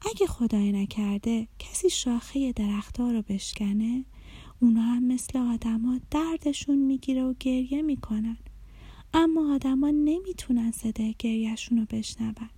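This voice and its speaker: A woman voicing a kind, gentle female dream fairy in a children's story, speaking softly and tenderly. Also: a little high, breathy edge, no echo. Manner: soft, hushed, tender.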